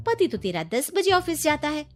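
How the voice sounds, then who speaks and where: A woman plays a cheeky young housewife in a cartoon story. Her high voice swings expressively as she teases and advises, unhurried, with soft music behind. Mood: teasing. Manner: teasing, animated. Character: teasing young married friend, cheeky and scheming. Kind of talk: Hindi cartoon story acting.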